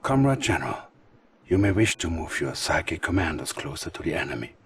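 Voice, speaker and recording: Casting: a scheming psychic adviser, low voice, soft menacing whisper, lively inflection. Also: male voice, a little fast, slightly rough, full character voice. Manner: soft, sinister, whispery delivery. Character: sinister bald male psychic adviser, scheming. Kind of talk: full-motion video game cutscene.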